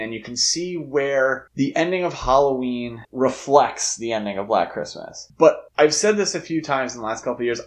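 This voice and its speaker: An emphatic man with a mid-pitched voice talks films as a podcast co-host. He is casual and conversational, and states his opinions firmly. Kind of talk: casual film discussion.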